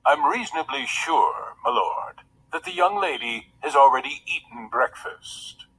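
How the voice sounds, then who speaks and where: A man voicing a stiff, deferential valet to a prince in a storybook, speaking in a measured, formal way. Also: medium pitch, wildly swooping pitch, creaky, a bit bright, a little hiss or hum, exaggerated voice, low-quality recording. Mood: measured, delicate. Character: stiff, formal, sympathetic male royal valet.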